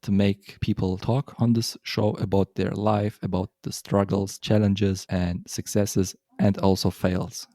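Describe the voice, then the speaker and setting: A man's voice: fairly low pitch, level tone, mellow, dry recording, studio quality. Man hosting a podcast. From Germany. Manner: enthusiastic, halting, says yeah often. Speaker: podcast host. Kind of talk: casual interview.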